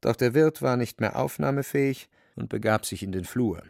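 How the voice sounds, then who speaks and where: Creaky-voiced male narrator, dry sound. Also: medium pitch, normal speed, darkish tone, no room sound. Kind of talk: scripted literary narration for radio drama.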